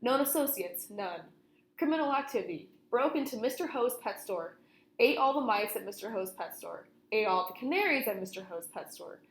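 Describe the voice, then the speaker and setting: A woman's voice: fairly high pitch, expressive, moderate pace, slight background noise. A young woman reading a children's story aloud in the manner of a storytelling session for kids. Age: young adult. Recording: roomy, echoing room sound.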